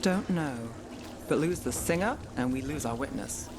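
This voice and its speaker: Cool, dry game detective. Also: female voice, deep voice, expressive, normal speed, background noise. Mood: dry, guarded. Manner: terse, dry, matter-of-fact. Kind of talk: video game acting.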